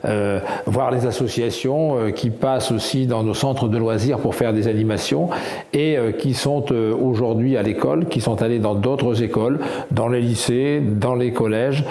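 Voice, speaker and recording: A man speaking as a local official in a news interview, halting and full of fillers. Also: mid-range voice, dark tone, roomy sound. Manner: halting, heavy use of fillers.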